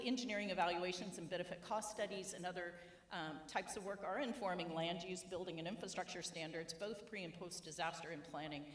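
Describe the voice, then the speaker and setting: A woman giving a conference talk at a podium in a large auditorium, steady and explanatory as she sums up. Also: lowish voice. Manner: concluding summary, steady and explanatory. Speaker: conference speaker. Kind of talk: conference presentation. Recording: roomy hall with long reverb.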